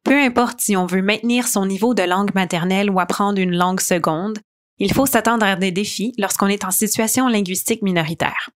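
A woman reading scripted narration in a clear, even manner. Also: mid-range voice, fairly quick.

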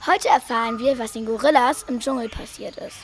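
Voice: mid-range voice, a little fast, creaky, background noise. A child presenter reading a scripted recap for an audio drama. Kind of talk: scripted narration.

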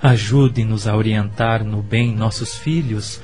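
An actor with a Brazilian accent playing a kind, devout middle-aged husband, tender and measured, in a radio soap opera. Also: male voice, on the low side, fairly even intonation, darkish tone, natural voice. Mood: prayerful. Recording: music under the voice.

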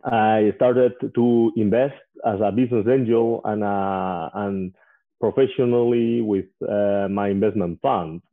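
Man in his 40s, from Spain, English, halting speech full of "uh", tinny phone-like line. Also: lowish voice, steady tone. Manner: hesitant, frequent "uh" fillers. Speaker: guest, investor. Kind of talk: casual interview.

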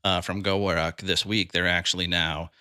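A man hosting a podcast, speaking in a relaxed conversational way with many fillers. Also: deep voice, normal speed, clear, resonant tone, dry recording, clean studio sound. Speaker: podcast host. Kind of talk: conversational interview.

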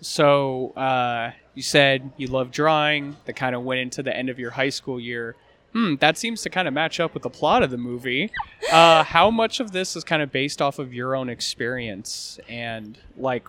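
A man's voice: medium pitch, moderate pace. Guy hosting a movie podcast, laid back, lots of uh and kinda. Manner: relaxed, loose questions with fillers. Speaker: podcast host. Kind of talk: casual interview.

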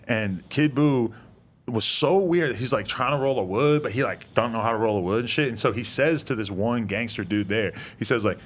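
Rambling young man, low-voiced podcast host. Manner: loose, rambling storytelling with many fillers. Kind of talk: casual chat.

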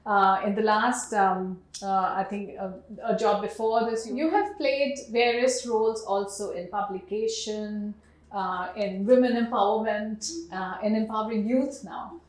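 A woman hosts the interview in a mid-pitched voice, chatty and effusive, with many fillers. The room around her sounds roomy and echoey. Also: measured pace. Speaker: show host. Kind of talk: interview conversation.

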